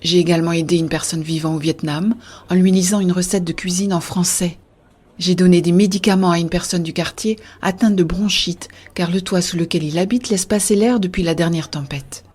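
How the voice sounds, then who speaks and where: A woman playing an earnest, idealistic young-hearted believer in a scripted science-fiction audio drama, with a husky, soft delivery. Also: on the low side, steady tone, fairly quick. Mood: earnest, persuasive. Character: Béa, earnest idealistic woman defending a shared-consciousness network. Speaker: voice actor narrating in first person.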